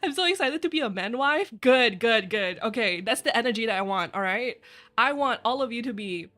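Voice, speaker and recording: A woman whose first language is Chinese, chatting casually with her viewers on a live stream, bubbly and quick to giggle. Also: on the high side, lively intonation, sharp tone, faint music behind. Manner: bubbly and giggly, laughs often; chatty, rambling asides.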